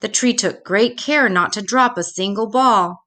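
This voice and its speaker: A woman reading a children's storybook aloud expressively, with dramatic pauses. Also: mid-range voice, bright, forceful tone.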